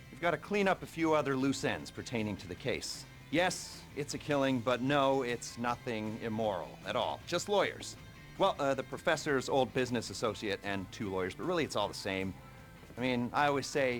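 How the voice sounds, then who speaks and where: An actor playing a chatty, self-mocking criminal heist handler in a video game, rambling and darkly joking. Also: male voice, medium pitch, a bit bright, natural voice. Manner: rambling, hesitant, darkly joking, chuckles to himself. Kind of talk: video game cutscene acting.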